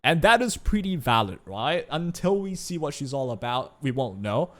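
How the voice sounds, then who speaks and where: A man's voice: a little high, sing-song, normal speed. A young man whose first language is Chinese, giving casual, rambling commentary on a gacha game's revenue as a gaming YouTuber. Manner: chatty, rambling, frequent "right?" asides.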